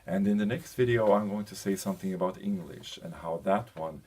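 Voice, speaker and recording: A man presenting an informal slide lecture as a teacher, speaking in a hesitant, halting way with frequent pauses. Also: low voice, dark tone. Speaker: university lecturer presenting a lesson. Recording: clear background noise.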